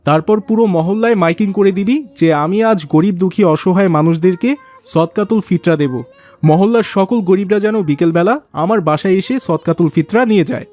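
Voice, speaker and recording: A man's voice: sits high, a little fast, dark tone, lightly acted. A man acts out a wealthy cartoon businessman. He is pompous and bossy, talking down to others and showing off. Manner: bossy, condescending. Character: pompous, show-off rich businessman and indulgent father. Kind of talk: cartoon dialogue acting.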